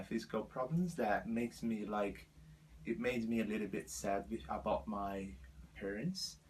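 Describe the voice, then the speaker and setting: A man in his twenties from Brazil with a Brazilian Portuguese accent, speaking halting second-language English as he tells his life story to the camera with many fillers. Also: mid-range voice, breathy edge, low-quality recording. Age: 20s. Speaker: vlogger.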